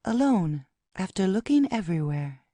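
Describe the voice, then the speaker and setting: A woman reads scripted stage directions as narrator. Her mid-pitched voice is mellow with lively intonation, in a very dry recording. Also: moderate pace, dry recording.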